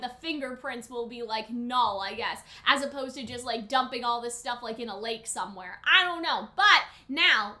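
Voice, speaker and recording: A woman hosting a casual reaction and review commentary in an expressive, conversational, slangy way. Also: high-pitched. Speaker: reaction video host and reviewer.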